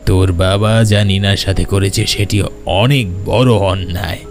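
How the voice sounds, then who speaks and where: A man performs an all-knowing mystic for a horror cartoon. His voice is low, with a stern, ominous authority. Music plays softly behind him. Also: steady tone, a little slow. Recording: background music under the voice.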